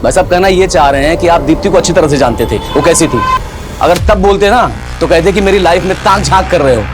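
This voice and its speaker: A man's voice: on the high side, fast talker, natural voice. An actor playing a needling neighbour in a TV drama, a man with an Indian accent speaking colloquially and insinuatingly. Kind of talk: TV crime drama dialogue. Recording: loud background music under dialogue.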